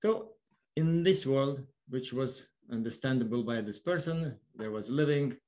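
A man with a Lithuanian accent gives an explanatory online lecture in halting, non-fluent English. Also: mid-range voice, lively intonation, a little mellow. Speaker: observatory worker giving a lecture. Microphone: online video call.

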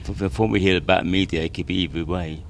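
A man's voice: deep voice, fairly even intonation, fast talker, a little hiss or hum. A man interviewing a diplomat for radio, asking thoughtful, probing questions in a room.

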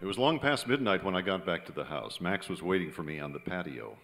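A man's voice: low-pitched, animated, fast talker, fairly bright tone. A middle-aged man playing a wry, world-weary screenwriter, acting and narrating in a stage radio drama with hard-boiled delivery. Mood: wry, reflective. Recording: echoey theater hall, music behind.

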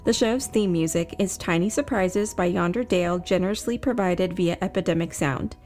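A woman's voice: on the low side, normal speed, darkish tone, faint music behind. Woman narrating a scripted story podcast.

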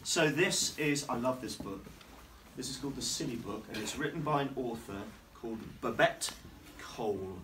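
A middle-aged man reads a silly picture book aloud to young children, his voice bouncing up and down in a lively, sing-song way. He slows down for the rhyming lines and stops to quiz the class. He is heard from across a classroom on a distant camera, with plenty of background noise. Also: mid-range voice. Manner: playful, asks the children questions and slows down on the rhymes. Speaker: headmaster. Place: primary school classroom, indoors. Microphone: camera across the room.

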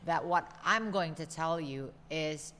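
Earnest young woman in a boomy room. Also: a bit bright, in a large hall, slight background noise. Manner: earnest, halting, with many restarts. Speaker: co-host, wife of the course creator. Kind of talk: casual sales testimony chat. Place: home living room, indoors. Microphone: studio mic on boom arm.

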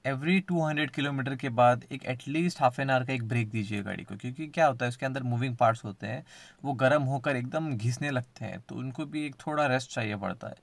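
A man's voice: mid-range voice, brisk, vocal fry, no room sound. A man, talking viewers through car maintenance checks beside his car outdoors, recorded on a handheld phone. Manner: explanatory, addresses viewers as friends. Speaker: car vlogger. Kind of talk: how-to car maintenance walkthrough.

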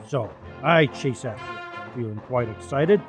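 A man voicing a boastful, grumbling rough tomcat who tells tales in blustering colloquial style for a radio story. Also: medium pitch, lively intonation, measured pace, music in the background, close to an everyday voice. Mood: blustering, disgusted.

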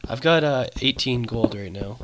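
Guy with a cold on voice chat, bossing his team around, bit of a brag, loud hiss and clicks behind him. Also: mid-range voice, lively intonation, moderate pace. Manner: chatty, directs teammates, a bit boastful about loot. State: has a cold. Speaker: gamer recording a team survival game. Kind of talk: casual team chat while playing. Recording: loud background noise with clicks.

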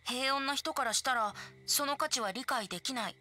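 A woman's voice: high voice, moderate pace, bright, forceful tone, a little hiss or hum. A woman voicing a youthful, playful boy bard with a poetic, persuasive way of talking in an anime game. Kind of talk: Japanese anime game voice acting.

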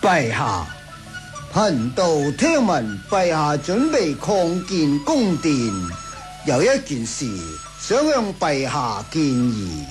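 An actor playing a scheming, flattering Taoist priest with a portentous, drawn-out delivery in a Cantonese radio drama. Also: male voice, fairly high pitch, faint music behind, exaggerated voice.

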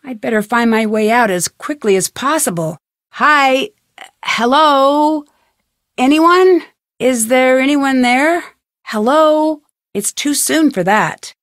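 A woman playing a young, curious and determined amateur detective in a video game, thinking aloud in clearly articulated scripted lines. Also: high voice, expressive, slow, a bit bright, no echo, clean studio sound, full character voice. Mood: calling out.

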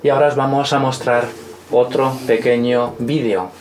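Measured male interpreter with audible crowd behind. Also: medium pitch, steady tone, poor audio.

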